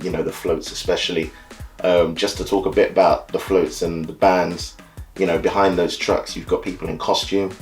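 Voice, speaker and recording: A man born and raised in the UK who leads a carnival band, explaining carnival in a relaxed, easygoing way, often saying "you know" and "so yeah". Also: deep voice. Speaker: carnival band leader and soca DJ. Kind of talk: casual explainer talk to camera.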